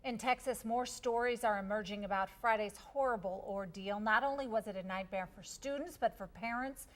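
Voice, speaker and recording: A woman's voice: mid-range voice, normal speed. Woman news anchor reading a scripted intro, mid-range voice.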